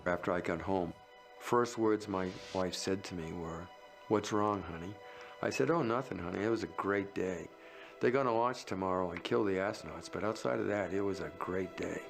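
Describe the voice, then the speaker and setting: A man speaks bluntly and emphatically as he recounts events for an interview. His low voice is gravelly, with music playing behind him. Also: normal speed. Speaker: interviewee, former engineer.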